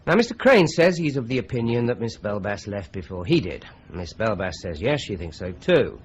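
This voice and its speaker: A methodical detective. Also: male voice, lowish voice, sing-song, normal speed, highs cut off, a little hiss or hum. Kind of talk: 1950s-style BBC radio drama.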